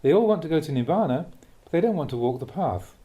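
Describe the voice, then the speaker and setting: A man reading a Buddhist Dhamma talk aloud as a monk, in a measured, expressive way with emphatic stresses. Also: fairly high pitch.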